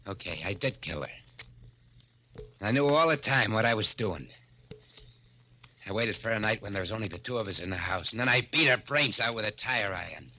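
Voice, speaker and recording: A man's voice: fairly low pitch, expressive, a little fast, long pauses, sharp tone, heavily acted. An actor playing a rattled, menacing American killer and thief in an old-time radio drama, talking in agitated tough-guy slang. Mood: agitated, defensive. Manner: agitated, tough gangster talk. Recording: old radio recording with hiss.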